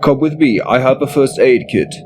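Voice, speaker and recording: A man's voice: medium pitch, normal speed, music in the background, lightly acted. A man playing a practical, direct security guard in an audiobook drama. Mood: urgent, practical.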